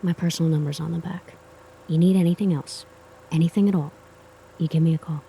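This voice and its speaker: A woman's voice: low voice, fairly even intonation, a little fast, gravelly, mellow, a little hiss or hum, low-quality recording. A woman playing a wry, world-weary character with a hidden kind streak in an audio drama, offhand and sarcastic. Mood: wry, reassuring. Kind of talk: dark superhero audio drama acting.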